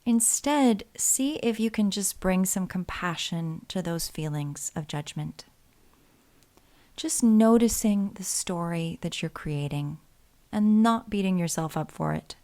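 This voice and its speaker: A woman leading a guided body scan meditation in a soothing, gentle and unhurried way, leaving pauses between phrases. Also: lowish voice.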